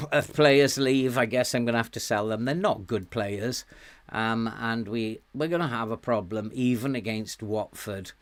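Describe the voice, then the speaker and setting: A man's voice: medium pitch, a little slow. Man, game streamer, sore throat, hesitant thinking aloud, chuckling, mid-range voice. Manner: thinks aloud with frequent ums and soft chuckles, greets chat viewers. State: sore throat, losing his voice. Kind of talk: live-stream commentary while playing a management game.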